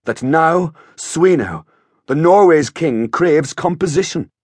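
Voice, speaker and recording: A man plays a proud Shakespearean nobleman bringing battle news. The voice is pitched up from his usual, urgent and declamatory, in a dry, close audiobook recording. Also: animated, slow pace, a little mellow, husky, dry recording, exaggerated voice. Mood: triumphant. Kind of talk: dramatized Shakespeare verse audiobook.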